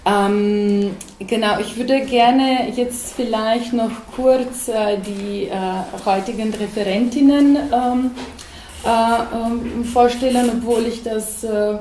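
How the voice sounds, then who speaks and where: A woman welcomes a panel audience slowly and haltingly, filling her pauses with many äh sounds. She speaks in a gallery room that echoes, with faint noise behind her. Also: fairly high pitch, steady tone, dark tone. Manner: halting, full of äh fillers. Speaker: event moderator.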